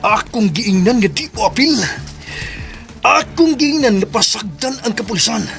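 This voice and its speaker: A man playing an excitable, anxious son in a Filipino radio drama, with a Cebuano accent. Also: high voice, moderate pace, gravelly, soft background music, heavily acted. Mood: agitated.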